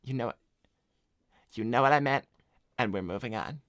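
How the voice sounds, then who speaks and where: A man's voice: medium pitch, normal speed, a bit bright, no room sound, studio quality, natural voice. A man voicing a cocky, broke hedgehog slacker in an improvised cartoon livestream, casual, blunt and self-assured. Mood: matter-of-fact. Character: cocky, broke male hedgehog slacker giving shady advice. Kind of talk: improvised in-character livestream chat.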